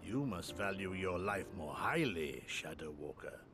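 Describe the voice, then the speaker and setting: An actor playing a gruff, loyal older samurai in a game, with a gravelly voice and forthright, archaic speech. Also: male voice, low voice, animated, a little slow, music in the background. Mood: earnest admonishing.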